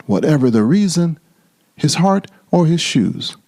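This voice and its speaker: Male storyteller for children's book, theatrical emphasis, very expressive pitch, unhurried delivery. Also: vocal fry. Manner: theatrical, drawn-out emphasis and repetition. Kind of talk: dramatic children's storybook reading.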